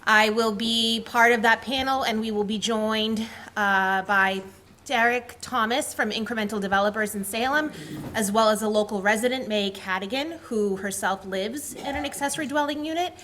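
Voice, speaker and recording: A woman town planner opens a public meeting with brisk, organized announcements. Her voice is bright and forceful, and a little noise sits behind it. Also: fairly high pitch. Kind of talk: welcoming remarks and announcements.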